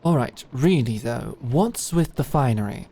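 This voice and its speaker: A woman's voice: a bass voice, animated, a little slow, dark tone, slight background noise, voice pushed down, full character voice. A woman voicing a wry, teasing lady knight in a medieval audio drama, dry and playful. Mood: exasperated. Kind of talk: medieval fantasy audio roleplay acting.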